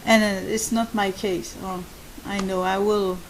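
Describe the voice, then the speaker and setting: A woman with a soft voice and a non-native English accent, hosting a spoken monologue for her subscribers, talking in a halting way with many fillers and restarts. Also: medium pitch, little pitch movement, background noise. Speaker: channel host.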